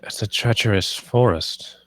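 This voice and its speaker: A grave lord of the house played by a man in a dramatic table read. His voice is very low and slow, commanding and deliberate, with a dry sound. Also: no room sound, close to an everyday voice. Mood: guarded. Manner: deliberate, authoritative.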